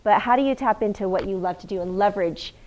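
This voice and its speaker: A middle-aged woman with a loud voice giving a lively, conversational guest lecture in a university classroom, asking the audience questions. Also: medium pitch, fast, low-quality recording.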